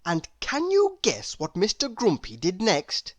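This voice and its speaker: A woman narrating a children's story in an expressive, emphatic read-aloud style. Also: mid-range voice, unhurried, no room sound. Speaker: storybook reader.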